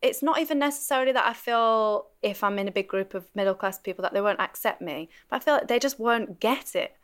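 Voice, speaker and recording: A woman speaks in an interview, open but a little hesitant. Her voice is smooth and clear. Her pitch sits in a middle range. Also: fairly quick, fairly bright tone.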